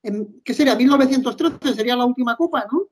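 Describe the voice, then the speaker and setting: High, excitable man on a video call. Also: fast. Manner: excitable, animated, laughs. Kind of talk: casual expert chat.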